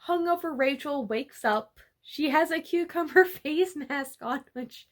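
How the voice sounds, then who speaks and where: A woman's voice: high voice, unhurried, music in the background. Giggly, chatty young woman vlogger. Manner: chatty and giggly, full of fillers like "like". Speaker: book-summary vlogger. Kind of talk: casual chapter-by-chapter retelling.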